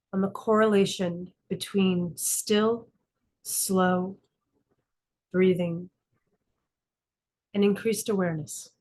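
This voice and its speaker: A woman leading a guided meditation as a teacher, calm and instructive, speaking in slow, deliberate phrases with frequent pauses. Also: medium pitch. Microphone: video call class.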